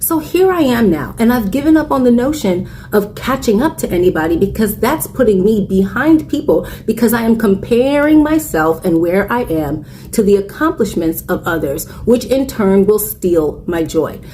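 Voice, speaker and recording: A woman's voice: medium pitch, animated, moderate pace, few pauses, warm tone. A woman in her forties speaks to camera in a candid, earnest way, telling her own story. She is a content creator with a mid-range voice, and a faint noise sits under the recording. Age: 40s. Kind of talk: personal reflective talk to camera.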